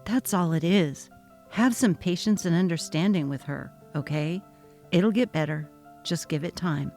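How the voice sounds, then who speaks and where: A woman voicing a young husband who talks calmly and dismissively. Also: fairly low pitch, measured pace, faint music behind. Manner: calm, brushing off, patronizing. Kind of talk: dramatic reading of a text-message story.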